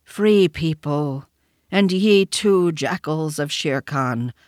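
A storybook reader voicing an old, weary male wolf leader who speaks slowly and gravely. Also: mid-range voice, a bit bright, no echo, studio quality. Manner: slow, grave, formal.

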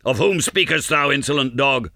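Man playing a fierce, boastful old warrior, bright-voiced. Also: on the low side, expressive, measured pace, clear, resonant tone, dry recording, clean studio sound. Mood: furious, threatening. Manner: declamatory archaic speech, boastful. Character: aging fierce Zulu warrior, boastful, bloodthirsty, grandly proud.

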